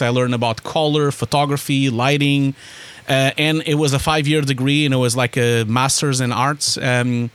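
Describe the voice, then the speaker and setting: A man speaks as a podcast guest, recounting his past in an animated, rambling flow full of restarts. His voice sits at an ordinary middle pitch for a man. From Portugal. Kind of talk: casual interview chat.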